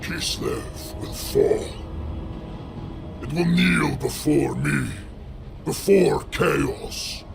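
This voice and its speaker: Gravel-throated demon prince, slow and menacing. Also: male voice, fairly high pitch, heavily acted. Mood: menacing, triumphant. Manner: menacing, imperious, slow declarations. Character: fallen prince reborn as a wrathful, arrogant demon champion. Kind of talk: video game cinematic acting. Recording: loud music over the voice.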